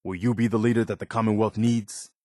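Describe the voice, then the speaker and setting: An actor playing an earnest, principled militia officer in a video game, sincere and plain-spoken, loyal yet easily disappointed. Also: male voice, lowish voice, flat delivery, a little fast, a little mellow, no room sound, clean studio sound, lightly acted. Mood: curious, bemused. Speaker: video game companion character.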